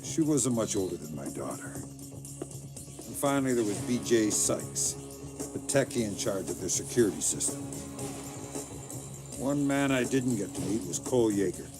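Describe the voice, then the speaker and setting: An actor playing a hardened, grieving middle-aged undercover spy who speaks in a terse, guarded way in a game cutscene. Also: male voice, on the low side, sing-song, moderate pace, pauses often, music in the background. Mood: grim recounting. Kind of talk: game cutscene dialogue and narration.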